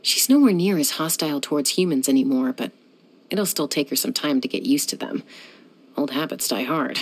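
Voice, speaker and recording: A woman's voice: low-pitched, lively intonation, normal speed, breathy edge. A young woman voicing a self-assured, dryly teasing gunslinger who flies and settles disputes, in video game voice lines. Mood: cool. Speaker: game character.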